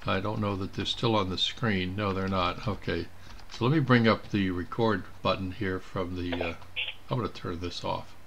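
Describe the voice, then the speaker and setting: A man's voice: deep voice, fairly even intonation, normal speed, creaky, a bit bright, slight background noise. A man giving a casual screen tutorial as an amateur radio operator, thinking aloud as he works. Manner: thinking aloud while working. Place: indoors at a computer.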